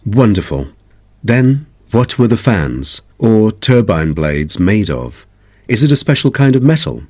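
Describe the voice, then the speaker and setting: A man's voice: on the low side, big pitch swings, unhurried, darkish tone, no room sound, studio quality. A man playing a curious, appreciative questioner in a scripted listening-test dialogue. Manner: inquisitive.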